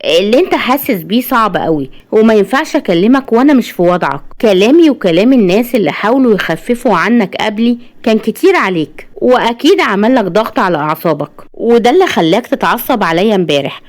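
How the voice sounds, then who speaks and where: A woman voicing a sympathetic young female medic in an audiobook, gentle and earnest, with an Egyptian accent. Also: mid-range voice, animated, fast, natural voice. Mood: earnest, understanding. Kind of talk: audiobook character dialogue.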